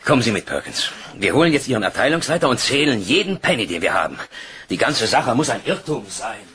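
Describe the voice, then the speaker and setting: An actor playing an authoritative bank director, stern and deliberate, in a German crime radio play. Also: male voice, mid-range voice, sing-song, background noise, close to an everyday voice. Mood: questioning, firm. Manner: commanding, deliberate.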